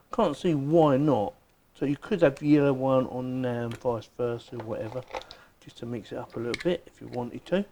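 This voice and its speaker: A man with a mellow, relaxed voice at an ordinary male pitch. He talks as a product reviewer doing a casual unboxing and demonstration. His manner is chatty and informal, often thinking aloud. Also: a little hiss or hum.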